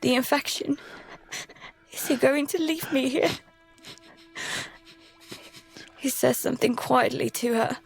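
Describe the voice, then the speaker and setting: A woman playing a terrified young daughter, narrating an audio drama in the first person in halting, emotional fragments. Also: high-pitched, creaky. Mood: fearful, tense. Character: terrified young daughter recounting a monster attack at sea.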